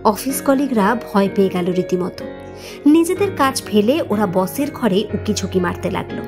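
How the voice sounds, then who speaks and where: A woman reading a scripted story as narrator in an expressive, dramatic manner. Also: mid-range voice, moderate pace. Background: background music.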